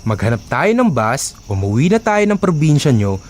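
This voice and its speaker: A man's voice: mid-range voice, normal speed, slight background noise, lightly acted. A man voice-acting an exasperated, whiny young boyfriend in a Filipino audio drama. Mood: fed up. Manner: whiny, complaining, animated. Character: exasperated young boyfriend Gael, expectant father, dodgy charmer.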